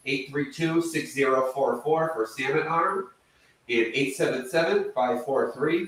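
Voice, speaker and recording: A man hosting a live true crime interview show, chatty and apologetic, reading out tip line numbers slowly. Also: medium pitch. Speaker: host. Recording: very echoey, distant mic, faint noise.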